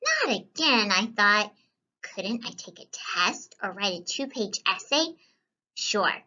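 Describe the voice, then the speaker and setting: A woman reading a children's story as a whimsical, wisecracking young student painter, with animated delivery and playful, wry asides. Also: medium pitch, slow pace, dull audio, exaggerated voice. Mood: wry, reluctant. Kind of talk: scripted children's read-aloud.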